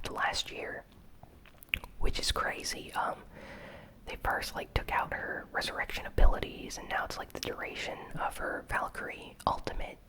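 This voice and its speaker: A breathy whisper with a crisp, bright edge carries a casual ASMR gaming news recap. The host never voices the words fully, staying informal and unhurried, with small hesitations between phrases. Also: poor audio.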